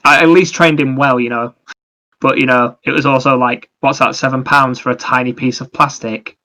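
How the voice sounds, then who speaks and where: A man's voice: medium pitch, fairly even intonation, normal speed, pushed and bright, rough recording. A man co-hosting a casual podcast, chatty and jokey, telling little anecdotes. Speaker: podcast co-host.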